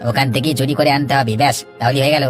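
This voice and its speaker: A man acts a scheming cartoon village rascal. He rattles along quickly and brashly, like a conspirator, with soft music underneath. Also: medium pitch, fairly even intonation, close to an everyday voice. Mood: scheming, eager. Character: cocky scheming village lad, a petty thief. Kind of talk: cartoon dialogue acting. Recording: faint music behind.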